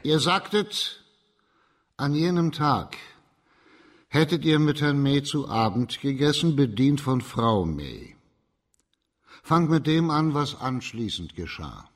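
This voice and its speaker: An actor playing a composed, stern judge and investigator in a radio crime drama, measured and formal. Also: male voice, on the high side, expressive.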